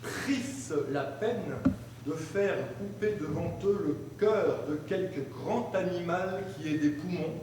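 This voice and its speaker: Slow, declamatory man in an echoing hall. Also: high-pitched, background noise. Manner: deliberate, declamatory. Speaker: stage performer. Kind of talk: staged reading of grammar examples. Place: large hall, live performance. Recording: echoey hall from far off.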